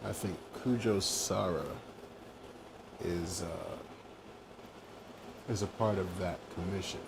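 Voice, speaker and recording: A man with a deep voice talks casually through a game he is streaming. He is laid-back and wry, slow in pace, with some fry in his voice. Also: steady tone, noisy background. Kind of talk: casual gameplay commentary. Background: video game music.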